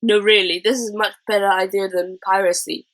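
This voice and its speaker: A woman's voice: mid-range voice, steady tone, fairly quick, a bit bright, no room sound, natural voice. A woman voicing an eager, silver-tongued male pirate chief for a storybook, with wheedling salesman patter.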